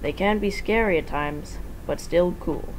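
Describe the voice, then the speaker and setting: A woman's voice: lowish voice, unhurried, slight background noise, lightly acted, poor audio. A woman reading the part of a friendly, laid-back young man in a casual, reassuring way for a fan story. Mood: relaxed.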